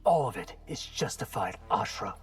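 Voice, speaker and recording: A man's voice: fairly low pitch, big pitch swings, moderate pace. A man voicing a cocky, wry young fighter who trades casual quips in video game dialogue. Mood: casual, dry.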